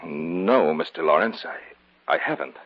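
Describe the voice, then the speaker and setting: A muffled old radio recording with music carries a haunted man. His voice sits low and swings widely, polite on the surface, hesitant and brooding underneath with an intense obsession. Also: lively intonation, unhurried, husky, full character voice. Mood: nervous, polite. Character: troubled, haunted young man, outwardly polite, secretly obsessed. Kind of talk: old-time radio horror acting.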